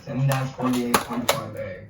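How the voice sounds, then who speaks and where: A man chats casually in a mid-pitched voice that sounds roomy and away from the mic. There is clear noise and some typing around him. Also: steady tone, normal speed, far from the mic. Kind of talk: casual chat.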